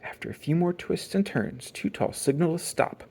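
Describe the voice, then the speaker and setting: Parent reading a kids picture book out loud, expressive, mid pitch that moves around a lot. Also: normal speed, breathy edge. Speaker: storybook reader, parent. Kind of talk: expressive children's read-aloud narration.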